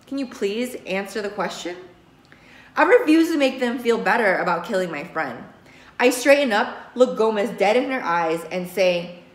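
Woman reading a novel aloud, roomy echo. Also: on the high side. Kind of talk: expressive audiobook-style read-aloud of a novel. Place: small indoor room with bookshelves. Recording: roomy, echoing small room.

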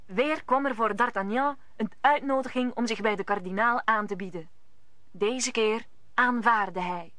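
A woman's voice: on the high side, a little slow, bright, forceful tone, no room sound. A woman narrating an old Dutch radio play in a scripted storytelling style.

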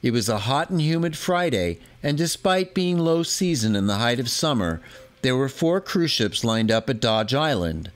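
A man in his sixties reading a scripted voice-over in a measured, informative way. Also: mid-range voice, big pitch swings. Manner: measured, informative, fond of the subject. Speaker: documentary narrator.

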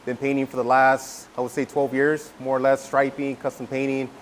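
A man in his thirties from California, a custom painter teaching a class in a relaxed way, repeating words and filling pauses, on a lapel mic indoors. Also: mid-range voice, slight background noise. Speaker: guest instructor, custom painter. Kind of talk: casual workshop teaching. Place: indoor event room before a backdrop.

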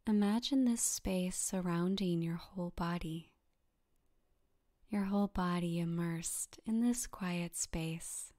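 A woman softly guiding a meditation in a breathy, half-whispered voice, calm and soothing, with gentle instructions and long pauses. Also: on the low side. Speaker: yoga nidra meditation guide. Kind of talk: guided meditation narration.

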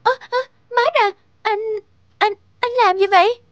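A woman voicing an emotional, hesitant young girlfriend in love in an animated drama. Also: extremely high pitch, unhurried, dry recording, lo-fi sound. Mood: bewildered.

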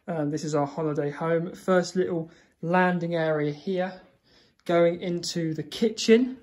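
A man's voice: fairly high pitch, unhurried, a little mellow. A young man filming a chatty, meandering tour of a small holiday cottage on a handheld phone, walking from room to room. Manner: chatty and meandering, with fillers and self-corrections. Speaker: vlogger. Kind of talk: casual house tour. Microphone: phone camera held in hand.

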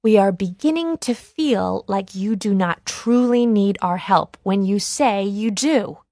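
A woman voicing a stern villager in a children's storybook, admonishing in a measured way. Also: mid-range voice, darkish tone, no echo, clean studio sound, lightly acted. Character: stern, reproving group of adult villagers.

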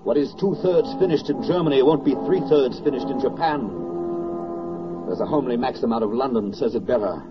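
An actor playing a solemn, stirring American narrator in a 1940s radio drama, declamatory and emphatic. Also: male voice, medium pitch, a little fast, over loud music, natural voice. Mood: urgent, exhorting. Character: solemn, stirring male narrator addressing soldiers and sailors. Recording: dull old 1940s radio recording.

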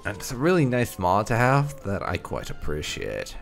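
A man doing roleplay playthrough commentary in a theatrical, drawn-out way. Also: fairly low pitch, wildly swooping pitch, vocal fry, faint music behind. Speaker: gaming video host. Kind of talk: roleplay playthrough commentary, reading out character replies.

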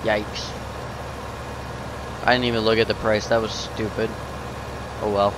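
A man's voice: fairly low pitch, flat delivery, unhurried. A young man chatting casually while he plays a game, rambling and talking to himself, a bit sniffly from a runny nose. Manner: rambling, self-talking, easily surprised. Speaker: gaming channel host. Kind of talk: casual let's-play commentary. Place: home gaming setup, indoors. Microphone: headset. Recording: noisy home recording.

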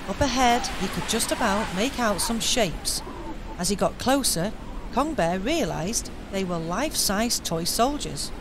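A woman narrating a children's radio pantomime in clear, steady storytelling. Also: medium pitch, unhurried, background noise.

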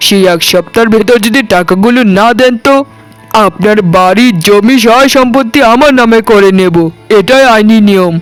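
A man's voice: high voice, normal speed, faint music behind, lightly acted, poor audio. A man playing a stern, sarcastic, threatening moneylender in a cartoon. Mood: menacing. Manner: sarcastic, menacing. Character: stern, threatening male moneylender demanding repayment. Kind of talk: cartoon dialogue acting.

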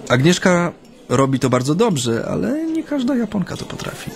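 A man's voice: high voice, wildly swooping pitch, normal speed, full character voice. An actor playing a genial Japanese man reminiscing hesitantly and reflectively about his life, in a conversational radio drama. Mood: reflective, wry. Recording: studio radio play with music.

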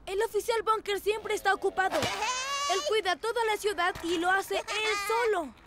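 A woman's voice: extremely high pitch, moderate pace, heavy background noise. A woman voicing an enthusiastic, friendly child motorcycle in a children's cartoon, eager and earnest. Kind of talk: animated children's cartoon dubbing. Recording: music behind the voice.

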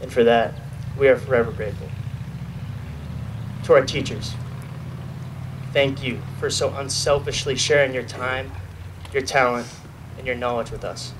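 A man's voice: mid-range voice, steady tone, moderate pace, pauses often. A young man, just graduating, gives the student speech at an outdoor podium, earnest with light jokes. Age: young adult. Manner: earnest, lightly joking, reads from notes. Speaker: student speaker. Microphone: podium mic filmed from a far camera. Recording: distant outdoor recording with noise.

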